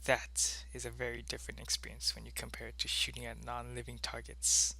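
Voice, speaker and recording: A man playing a devoted alien soldier in an ASMR audio roleplay, with a gravelly, earnest and deliberate delivery. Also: medium pitch, completely flat delivery, bright, forceful tone, heavily acted, low-quality recording. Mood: stern, concerned. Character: devoted alien soldier, gruff in battle, tender with his lover.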